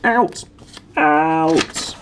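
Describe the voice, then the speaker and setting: A man's voice: on the high side, extremely slow, background noise, pitched above the performer's own voice. A man reading a storybook as a blustering, domineering middle-aged uncle who gives emphatic, clipped orders. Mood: furious, flustered.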